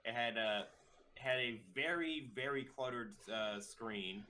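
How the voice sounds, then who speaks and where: A man casually explaining as a guest commentator, halting and repeating his phrases. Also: mid-range voice, sharp tone, a little hiss or hum.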